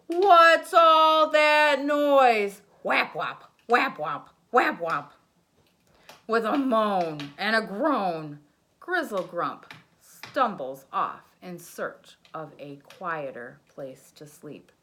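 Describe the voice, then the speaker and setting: Female teacher reading to kids; unhurried, bright, very expressive, plays up the sound effects. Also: lowish voice. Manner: animated, sound effects, asks listeners questions. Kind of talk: children's read-aloud.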